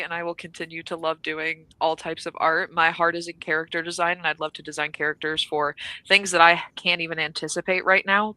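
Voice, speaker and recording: Woman, slightly low and crisp-bright voice, articulate, playful interviewee. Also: dry recording, poor audio. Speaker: character designer being interviewed. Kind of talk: casual interview chat.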